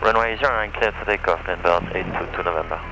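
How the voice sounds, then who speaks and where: A man working as an airline pilot, reading back clearances a little hesitantly over aircraft radio. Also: deep voice, fast, noisy background. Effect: aircraft radio transmission.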